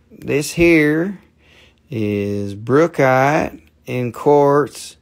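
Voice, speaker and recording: A man's voice: mid-range voice, creaky. A man with a Southern US accent hosting a live mineral sales show, rambling and joking as he holds stones up to the camera. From southern United States. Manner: rambling, joking, cursing, playful bits of put-on accents. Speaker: live-stream mineral seller. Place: indoor room with a table of mineral specimens.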